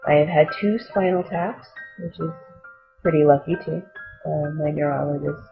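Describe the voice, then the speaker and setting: A woman giving personal testimony in a wry, emphatic way with a very creaky voice. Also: sits low, fairly even intonation, moderate pace. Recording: tinny narrow sound, faint music.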